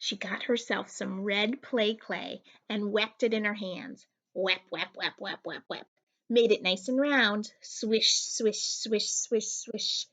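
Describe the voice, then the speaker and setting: A woman's voice: on the high side, fairly bright tone. A woman teacher reading a children's picture book aloud, expressively, playing with the sound-effect words.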